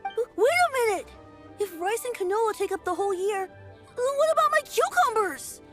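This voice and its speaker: A woman's voice: very high voice, expressive, moderate pace, soft background music. A woman voicing a small, earnest, stammering child in a video game, timid but eager. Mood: timid, worried. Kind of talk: cartoonish game voice acting.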